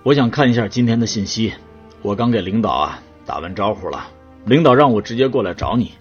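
Guy playing middle aged scientist in an audio drama, earnest n a bit sly, lowish voice. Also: lively intonation, fairly quick, dull audio, faint music behind, close to an everyday voice. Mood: casual, covering up. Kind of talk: Chinese sci-fi radio drama acting.

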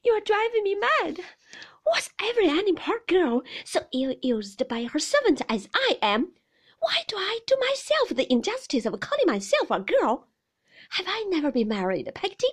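A woman voicing an emotional young mother, tearful and defensive, pleading in a high, shrill voice. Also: sing-song, normal speed. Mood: distressed.

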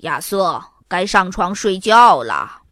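A woman's voice: fairly low pitch, big pitch swings, slow pace, a bit bright, dry recording, clean studio sound, voice pushed down, heavily acted. A woman voicing a stern, commanding father in a children's story. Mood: firm. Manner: firm, commanding. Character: stern father ordering his children to bed.

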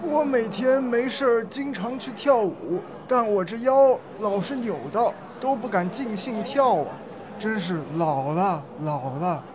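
A man plays a credulous old neighborhood resident in a radio drama. The voice is high for a man and chatty and eager, with a busy crowd around. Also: expressive, measured pace. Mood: rueful. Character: chatty, gullible elderly community resident. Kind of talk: amateur legal-education radio play.

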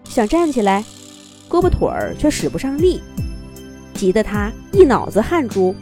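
A woman's voice: medium pitch, huge pitch swings, normal speed, mellow, soft background music. A woman narrating a children's fairy tale expressively from a script, as a storyteller.

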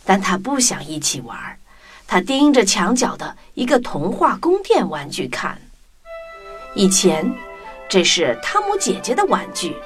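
A woman narrating a scripted children's story in an expressive storytelling manner. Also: mid-range voice, a little slow, music in the background.

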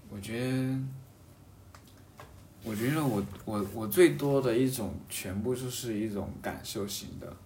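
A man chatting casually and reflectively as a guest, thinking aloud in a halting way and often repeating his phrases. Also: mid-range voice, darkish tone, recorded from a distance. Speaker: podcast guest talking about a hobby of seal carving. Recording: roomy echo, off-mic, faint background noise.

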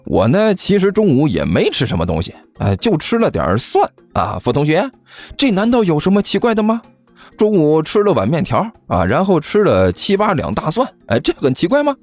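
A man's voice: on the high side, wildly swooping pitch, moderate pace, darkish tone, soft background music, pitched above the performer's own voice, heavily acted. A man voicing a smug, chubby male student in an audiobook, drawling with mock concern as he needles his rival. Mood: boastful, mock-innocent. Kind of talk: audiobook character acting.

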